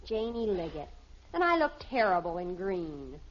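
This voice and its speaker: A woman's voice: on the low side, very animated, moderate pace. A woman playing a dramatic, disapproving American teenage daughter in a radio sitcom. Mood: sulky. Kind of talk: 1950s radio sitcom acting. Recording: muffled old radio recording.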